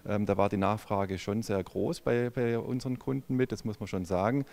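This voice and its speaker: A middle-aged man with a low, gravelly voice, speaking as a company executive in an interview. He talks haltingly, full of fillers and restarts, into a handheld mic in a large indoor showroom. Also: steady tone, darkish tone. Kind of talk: on-camera interview. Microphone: handheld microphone.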